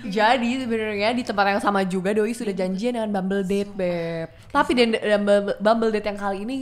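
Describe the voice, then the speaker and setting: A woman's voice: mid-range voice, brisk. A woman chatting casually, dropping wry, joking asides. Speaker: podcast guest. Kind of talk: casual chat.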